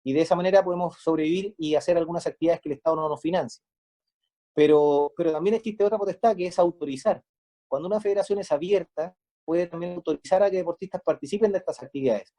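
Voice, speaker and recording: A man's voice: a little high, fast, warm tone. A man from Chile with a Chilean accent, explaining things step by step in an interview as a sports federation manager. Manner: methodical, explaining step by step with examples.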